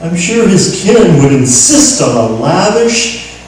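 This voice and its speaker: Male actor as small-town undertaker: slow, swooping delivery, greedy and morbid, echoey room. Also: high-pitched, very animated, a little mellow, full character voice. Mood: gloating. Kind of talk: old-time radio comedy western acting. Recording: very echoey room, distant mic, faint noise.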